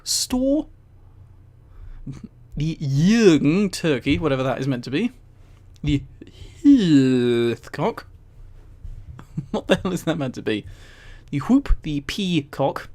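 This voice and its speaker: A live streamer with a mid-pitched voice reads aloud in a casual, chatty way. The delivery is amused and incredulous, breaking off for mildly sweary commentary to the viewers. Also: darkish tone. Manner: amused, often laughing while reading. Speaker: streamer and host.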